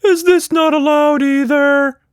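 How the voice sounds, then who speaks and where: A man's voice: very high voice, steady tone, measured pace, no echo, studio quality, pitched above the performer's own voice. A man voicing a mischievous, overconfident magical furry monster in a children's story, exclaiming shrilly. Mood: disappointed, grumbling.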